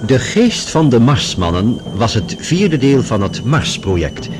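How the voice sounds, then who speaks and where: A man announces a radio serial from a script. His voice moves widely around a middle pitch. Music plays clearly behind him. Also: very animated, unhurried. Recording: loud music over the voice.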